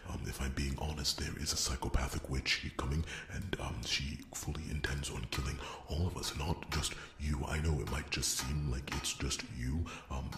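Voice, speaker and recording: A man playing a nervous, rambling blacksmith in a fantasy ASMR roleplay, soft and breathy, often near a whisper, stammering and apologetic. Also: rumbling low, echoey room, background noise. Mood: flustered, hesitant. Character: nervous, rambling, beer-loving male blacksmith in fantasy realm.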